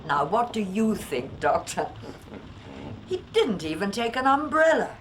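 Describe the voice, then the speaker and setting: A woman's voice: mid-range voice, very animated, a little slow, noisy background. An actress playing a motherly, long-suffering Victorian housekeeper in a radio drama, fussy and scolding. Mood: fussing, fond. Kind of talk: period radio drama dialogue.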